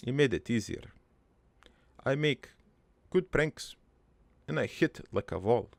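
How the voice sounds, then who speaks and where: A man playing a gruff, crude army veteran turned prankster, telling his regretful life story in a halting, matter-of-fact ramble for an improvised cartoon monologue. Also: mid-range voice, expressive, dry recording. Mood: matter-of-fact, reminiscing.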